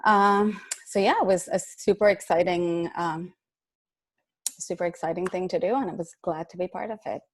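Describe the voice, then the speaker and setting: A woman's voice: on the low side, steady tone, normal speed, dry recording. A woman from India, an artist introducing herself on a panel call, light and hesitant with a laugh now and then.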